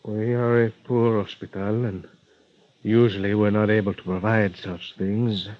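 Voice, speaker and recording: An actor playing an earnest, grieving doctor with a Spanish accent, speaking formally and haltingly in vintage radio drama style. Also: male voice, lowish voice, level tone, natural voice. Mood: solemn, grieving. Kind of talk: 1940s-50s radio drama acting. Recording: dull vintage radio recording.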